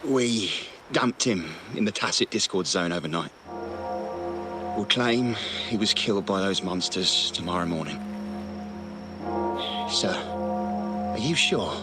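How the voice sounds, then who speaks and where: A man's voice: low voice, slow, long pauses, over music. An actor playing an uneasy, conscience-stricken henchman of a crime family in a video game, speaking anxiously and urgently. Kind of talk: dramatic video game voice acting.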